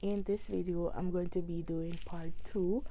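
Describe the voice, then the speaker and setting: Young woman with a cold, hoarse and stuffy, rambly unboxing vlog, lotta ums, soft dark tone. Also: lowish voice, fairly even intonation, measured pace. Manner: rambling, many ums and restarts. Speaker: beauty vlogger.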